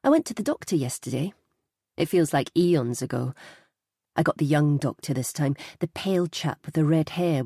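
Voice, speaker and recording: An intelligent, socially awkward office worker narrating her own story for an audiobook. The voice is low and mellow, yet very expressive in pitch. She speaks earnestly, with studied pacing and precise articulation. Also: husky, no echo, clean studio sound. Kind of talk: first-person audiobook narration.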